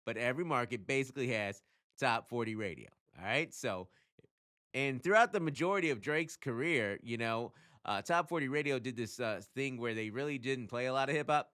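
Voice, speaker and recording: A man hosting his own channel, talking casually and emphatically as he explains things, often throwing in rhetorical questions and quick check-ins. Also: mid-range voice, a bit bright. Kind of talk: casual explainer talk to camera.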